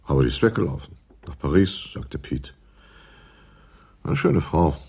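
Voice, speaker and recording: An actor playing a thoughtful witness who answers in deliberate short phrases in a radio drama. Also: male voice, very deep voice, lively intonation, gravelly, a little mellow, husky, heavily acted. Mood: reflective. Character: thoughtful male witness answering police questions.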